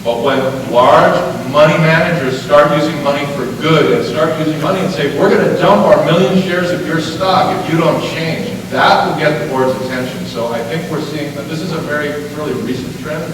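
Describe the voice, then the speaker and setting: A man's voice: fairly high pitch, fairly quick, few pauses. A man talking informally to an audience at an event, thinking aloud with fillers and asking the room questions. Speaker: panel speaker. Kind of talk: casual talk to an audience. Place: event hall. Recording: echoey hall from far off, audible noise.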